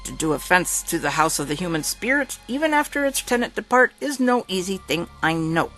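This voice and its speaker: Reader plays stern older father, clipped broken English, commanding, gravelly. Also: medium pitch, big pitch swings, fairly quick, a bit bright, faint music behind. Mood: cold. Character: stern father, older man, firm and domineering.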